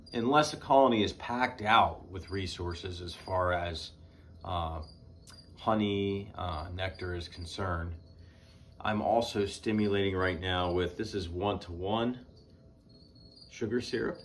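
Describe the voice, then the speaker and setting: A man in his forties, a beekeeper giving casual how-to advice in an unhurried, practical way with many "uh" pauses, filmed indoors in a small shed with the camera at desk distance. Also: low-pitched, slight background noise. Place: indoors, small shed stacked with hive boxes.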